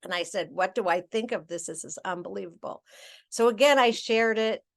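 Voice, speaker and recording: A woman's voice: medium pitch, moderate pace, creaky. A middle-aged woman giving a training presentation over slides, chatty, with many fillers and self-corrections.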